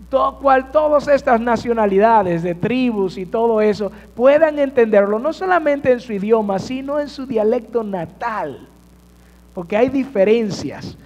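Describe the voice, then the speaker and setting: A man's voice: high-pitched, a little mellow, highs cut off. A man preaching a sermon as pastor to his congregation, asking them questions and answering them himself. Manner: addresses congregation with rhetorical questions. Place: church sanctuary. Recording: church hall reverb.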